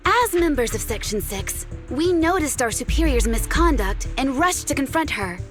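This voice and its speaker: An actress playing a composed, diplomatic deputy of a special unit in a video game, polite and formal in manner. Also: female voice, high-pitched, very animated, moderate pace, soft background music. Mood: calm, firm.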